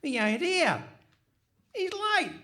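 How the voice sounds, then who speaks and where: A man's voice: extremely high pitch, big pitch swings, slow pace, pushed and bright, heavily acted. A man playing a blunt, matey, impatient heckler in an absurd radio comedy. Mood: cheeky, grumbling. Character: cheeky, impatient heckler from backstage. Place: hall stage, live performance.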